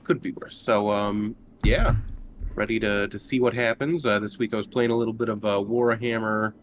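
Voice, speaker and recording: Laid back guy chatting, kinda low voice, sweary, noisy recording. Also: fairly even intonation, moderate pace. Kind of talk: casual chat. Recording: clear background noise.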